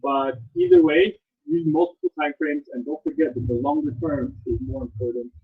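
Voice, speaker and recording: A man runs a small meetup session, explaining trading through questions to the group and family analogies, with a boastful edge. His voice is husky and sits a little high. The sound is thin and phone-like. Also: huge pitch swings, normal speed. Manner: rhetorical questions, boastful, uses everyday analogies. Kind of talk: live teaching talk to a small group. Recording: muffled phone-like recording.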